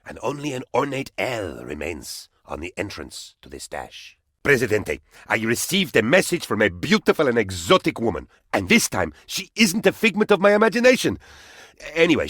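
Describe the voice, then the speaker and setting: Game role: pompous, lovesick, paranoid presidential sidekick. Lowish, very animated male voice, theatrical delivery, close dry recording. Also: husky, no room sound, heavily acted. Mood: excited, self-satisfied, then lovesick, dramatic. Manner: pompous, melodramatic. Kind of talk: theatrical video game character voice lines.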